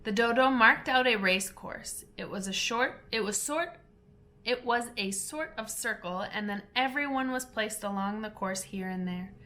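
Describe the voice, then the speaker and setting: A woman reading a children's audiobook in an expressive, deliberate storybook narration style. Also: on the high side.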